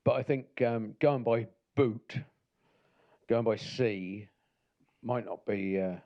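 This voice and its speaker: A man's voice: lowish voice, slow pace, lo-fi sound. A man from Norfolk in England co-hosting a casual podcast, talking in a hesitant, stop-start way. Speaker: podcast co-host. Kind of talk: casual chat.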